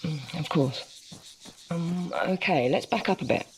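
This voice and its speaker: Female actor as a curious interviewer, measured, probing, noisy phone line. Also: on the low side, natural voice. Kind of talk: video game cutscene dialogue.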